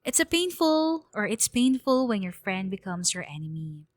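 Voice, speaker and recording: A woman's voice: mid-range voice, sing-song, unhurried, dry recording, clean studio sound. A woman playing a hurt, sentimental young letter writer, narrating earnestly and plaintively in a radio drama. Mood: hurt, wistful.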